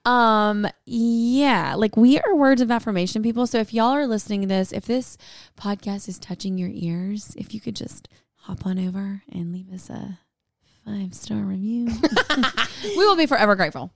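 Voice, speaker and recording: A woman's voice: medium pitch, normal speed, creaky, no echo, clean studio sound. A woman co-hosting a casual chat podcast, with a chatty, jokey, folksy manner. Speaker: podcast co-host.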